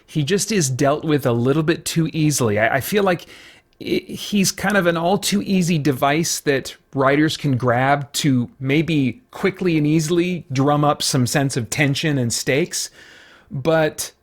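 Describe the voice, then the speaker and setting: A man's voice: fairly high pitch, measured pace. A man hosting a review show, talking casually in unscripted commentary and searching for his words as he thinks aloud. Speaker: host of a comic book review show.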